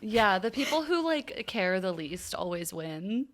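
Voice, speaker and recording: A woman's voice: mid-range voice, sing-song, measured pace, slight background noise. Effusive woman chatting on a podcast. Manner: effusive reactions, gushing. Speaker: podcast co-host. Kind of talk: casual TV recap podcast chat.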